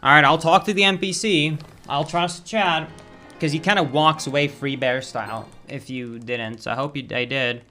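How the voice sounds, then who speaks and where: A young Swedish man talks through his stream in a slightly high, bright voice. His delivery is casual and chatty, with loud flare-ups and swearing when the game lags. The Swedish accent is clear throughout. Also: fairly even intonation, normal speed, soft background music. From Sweden. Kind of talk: live-stream gameplay commentary.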